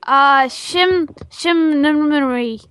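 Woman doing a slow, high-pitched little girl. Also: a bit bright, no echo, exaggerated voice. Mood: shy, eager. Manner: slow, hesitant sounding-out of words. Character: shy, childlike little girl, struggling to read. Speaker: prank caller. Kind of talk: improvised prank phone call.

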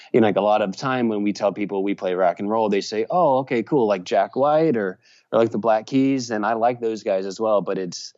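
Brief: man from Virginia, musician, rambling answers, lowish voice, lo-fi sound. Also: darkish tone. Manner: rambling, many fillers and restarts. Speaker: musician and journalist. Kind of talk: casual interview answers.

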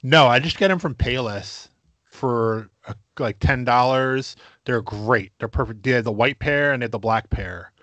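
A man's voice: medium pitch, fairly bright tone, no echo, dull audio. Brief: man, crude podcast co-host, boastful mock-macho drawl, dry close mic. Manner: crude, boastful mock-macho bits, slow emphatic delivery. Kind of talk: casual comedic podcast banter.

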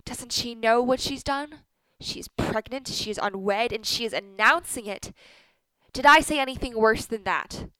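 A woman's voice: a little high, a little slow, bright, forceful tone, clear, resonant tone, no echo, rough recording. A woman playing a blunt, sardonic middle-aged wife with a bitter tongue in a radio play. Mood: scornful.

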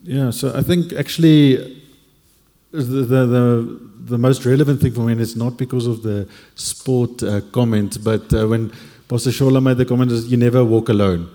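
A man's voice: mid-range voice, steady tone, normal speed, mellow. A man in his forties from Cape Town, a church panel guest sharing candidly in a reflective, hesitant way. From Cape Town, South Africa. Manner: reflective, hesitant, many fillers. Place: large church hall. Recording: long hall reverb.

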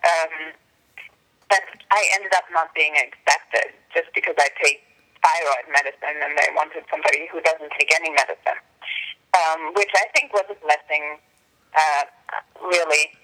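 A woman's voice: low voice, fairly even intonation, moderate pace, sharp tone, poor audio. A woman whose first language is German, an actress and tutor, answering interview questions in an earnest, sensitive way with long flowing sentences.

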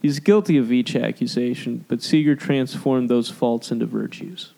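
A man's voice: mid-range voice, fairly even intonation, moderate pace, creaky, warm tone, low-quality recording. A man co-hosting a casual podcast chat. He is losing his voice, laughs often, and reads review quotes aloud. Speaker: podcast co-host.

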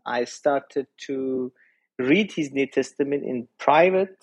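A man in his forties from Iran with a Persian accent, a pastor telling his personal story earnestly as a podcast guest, pausing often to find his words. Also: mid-range voice, breathy edge. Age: 40s. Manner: earnest and reflective, with frequent hesitations. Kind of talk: personal testimony in an interview.

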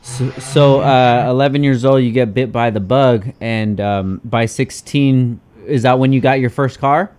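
Smooth-voiced relaxed male interviewer. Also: medium pitch, unhurried, hardly any pauses. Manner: relaxed, encouraging, says "man" often. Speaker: interview host. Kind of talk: casual interview.

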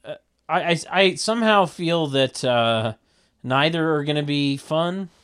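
A man narrating a script table read and bantering casually, with a deadpan, wry manner. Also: a little high, slow pace, clean tone.

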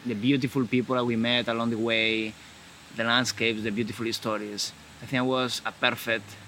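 A man from Galicia in Spain with a Spanish accent, talking in a casual conversation in halting English and often restarting his sentences. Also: mid-range voice, level tone, pushed and bright, a little hiss or hum, poor audio. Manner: halting, searching for words, frequent restarts.

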